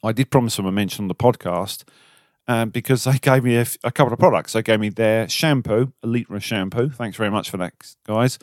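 A man's voice: lowish voice, brisk, no echo, clean studio sound. A British man co-hosting a podcast, chatting casually and laughing at his own stories. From Britain. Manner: chatty, self-mocking, laughs while telling stories. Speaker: co-host.

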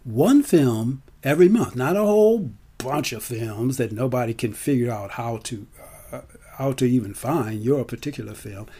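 A man giving an unscripted promotional talk in an emphatic, deliberate way, stretching and repeating key words. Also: mid-range voice, animated, warm tone, breathy edge. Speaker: storyteller and film festival organizer.

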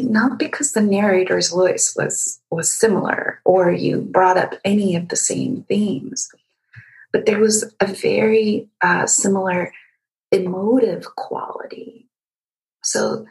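A woman from Egypt conducting a literary interview, speaking reflectively and feeling her way through halting phrases. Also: mid-range voice, vocal fry, low-quality recording.

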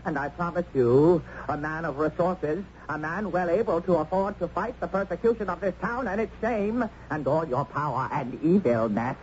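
An actor playing a proud, protective English gentleman in an old-time radio drama, with formal, florid, theatrical speech. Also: fairly high pitch, normal speed, hardly any pauses, natural voice. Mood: defiant. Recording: muffled, faintly noisy old radio broadcast.

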